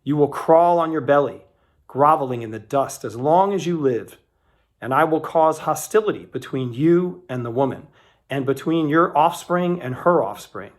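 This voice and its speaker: A man's voice: a little high, expressive, moderate pace, lightly acted. A man voicing a stern, commanding God in a scripture reading, emphatic and accusing in manner. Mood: solemn judgment. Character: God, stern commanding male deity passing judgment.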